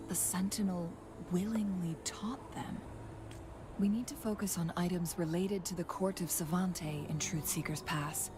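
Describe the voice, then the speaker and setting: A calm, thoughtful young woman from a fantasy adventure game, voiced by an actress. Her pitch sits a little low and she speaks in a measured, contemplative way. Game music plays clearly behind her. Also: natural voice. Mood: pensive. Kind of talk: scripted video game dialogue.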